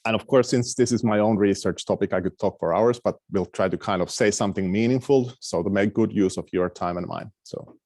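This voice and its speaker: A man's voice: fairly low pitch, a little fast, a little mellow, no room sound, studio quality. A middle-aged man from Finland with a Finnish accent, giving an academic lecture over a video call, hesitant with many fillers and restarts. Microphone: video call microphone.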